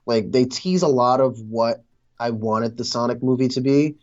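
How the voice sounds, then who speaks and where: A man's voice: medium pitch, moderate pace, a little mellow. Man chatting casually, dull lo-fi sound. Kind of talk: casual movie discussion.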